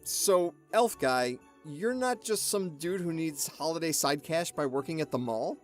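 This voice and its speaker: Woman doing a snarky disbelieving sidekick, radio drama, really animated, bit of music under it. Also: deep voice, unhurried. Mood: sarcastic. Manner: sarcastic, incredulous.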